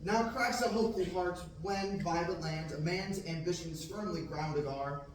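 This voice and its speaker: A man's voice: high voice, moderate pace, background noise, natural voice. A young man reading the part of a restless young farm boy in Shakespearean verse at a student table reading, earnest and declaiming. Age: young adult. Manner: earnest, declaiming, occasional slips. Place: large classroom, indoors. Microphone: far camera. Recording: echoey room, from far off.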